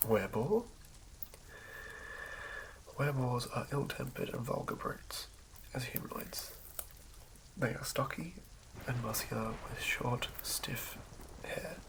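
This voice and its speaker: A man doing a soothing ASMR reading of fantasy game lore in a hushed, breathy whisper, occasionally stumbling over words. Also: medium pitch, animated, takes it slowly, pauses often. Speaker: ASMR host. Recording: lo-fi recording with clear background noise.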